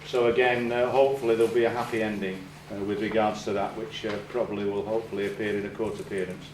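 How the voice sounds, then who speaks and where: A man's voice: fairly low pitch, level tone. Distant man briefing in an echoey noisy room. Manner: halting, filler-heavy official phrasing. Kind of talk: briefing staff. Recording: echoey room from far off with background noise.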